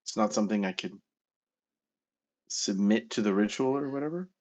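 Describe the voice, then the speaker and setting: A man's voice: on the low side, normal speed, a little mellow, lo-fi sound. A man playing in a tabletop horror game, asking the game master rules questions and dropping wry quips. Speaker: player. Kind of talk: casual chat at a tabletop game.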